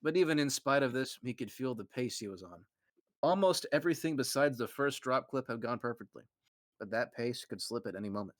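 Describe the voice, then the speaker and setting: A man's voice: mid-range voice, animated, brisk, no room sound. A man with a mid-range voice reads a fanfic aloud to friends, now and then cracking up. The sound is dry and close, with no room. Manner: breaks into laughter while reading. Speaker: fanfic reader, host.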